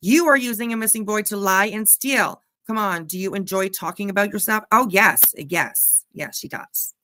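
A woman's voice: mid-range voice, normal speed, pushed and bright. A woman giving casual, snarky commentary on a clip, mocking and swearing freely. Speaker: reaction channel commentator.

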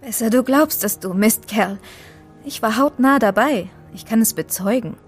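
An actress playing a feisty, sharp-tongued young woman in an audio drama, sassy and commanding. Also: fairly high pitch, normal speed, faint music behind, lightly acted. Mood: indignant.

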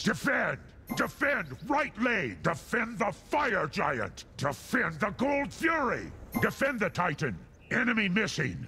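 Casting: menacing fire giant warlord, video game. Gravelly, wildly varied pitch, imperious and commanding. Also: male voice, sharp tone, a little hiss or hum, full character voice. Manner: commanding, imperious, deliberate delivery. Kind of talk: theatrical game voice lines and battle callouts.

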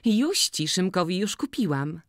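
A reader voicing a lively, bold young village girl in a slowly read audiobook. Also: medium pitch, no echo, clean studio sound, pitched above the performer's own voice. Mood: chirpy. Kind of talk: audiobook character voice.

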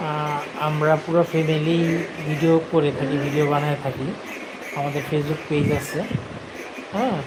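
A man's voice: fairly high pitch, little pitch movement, unhurried, long pauses, noisy background. A young man live streaming, chatting casually with his viewers.

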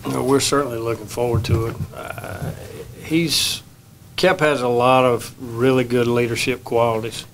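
An older man, a baseball head coach, answering questions at a postgame press conference in a plain, measured way at a table microphone. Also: medium pitch, fairly even intonation, a little hiss or hum. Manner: measured, plain-spoken, many restarts. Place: press room. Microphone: table mic.

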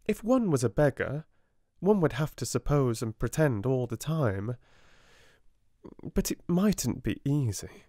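A man voicing a bright, imaginative young girl in a read-aloud storybook, softly lifting his voice. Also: medium pitch, sing-song, a little slow, no room sound, clean studio sound, pitched above the performer's own voice. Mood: dreamy.

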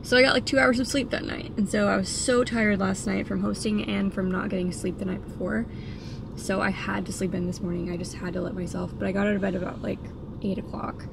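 A woman's voice: mid-range voice, flat delivery, a little fast. A young woman vlogging from a moving car on a road trip, rambling and chatty with plenty of fillers. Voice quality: vocal fry. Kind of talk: casual chatty vlog. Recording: car road noise behind the voice.

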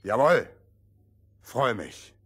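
An actor playing an eager, simple fairy-tale runner who talks in clipped, broken sentences with a husky voice. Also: male voice, mid-range voice, expressive, very slow. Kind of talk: children's fairy-tale audio play.

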